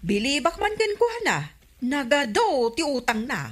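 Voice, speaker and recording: A high, animated Ilocano gossip. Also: female voice, normal speed. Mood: gossipy. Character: nosy neighbor woman nagging about unpaid debts. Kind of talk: Ilocano comedy radio drama acting.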